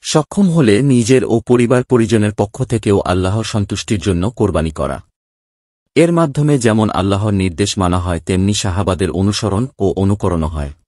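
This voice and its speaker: A man reading a scripted religious narration in a formal, measured manner. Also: fairly low pitch, animated, darkish tone, dry recording, studio quality.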